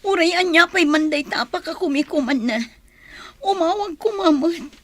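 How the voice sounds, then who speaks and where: An actress playing a lovesick, reluctant young daughter in a radio drama. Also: female voice, extremely high pitch, unhurried, a bit bright, dry recording. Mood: longing. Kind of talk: Ilocano radio drama acting.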